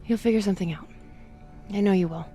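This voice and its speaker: A woman's voice: mid-range voice, quick pace, warm tone, lightly acted. An actress playing an earnest, worried female spaceship crew member in a video game. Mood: worried, supportive. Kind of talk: dramatic video game acting. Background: music.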